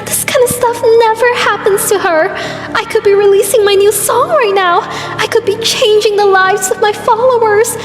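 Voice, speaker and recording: Woman as a whiny, high-pitched diva pony princess. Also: steady tone, moderate pace. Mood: whiny, sulking. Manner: dramatic, exasperated whining. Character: whiny, vain young pop-star princess pony. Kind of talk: cartoon voice acting in a comic dub. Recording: very echoey, long reverb, music underneath.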